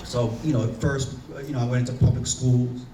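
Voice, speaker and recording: A man with a Haitian accent talks on a conference panel in an earnest, searching way, often restarting his sentences. His voice sits at an ordinary pitch. It is caught from a distance in an echoey room with a faint hum behind it. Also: fairly even intonation, fairly quick. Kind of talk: reflective personal talk on a panel.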